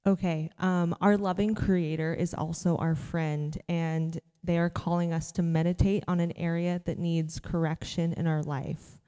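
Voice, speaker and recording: A woman guiding a congregation through meditation in a church. Her voice is earnest and gentle, with heartfelt pauses between questions. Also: fairly low pitch, steady tone, clear, resonant tone, in a large hall. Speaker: worship leader. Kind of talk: guided meditation in a church service.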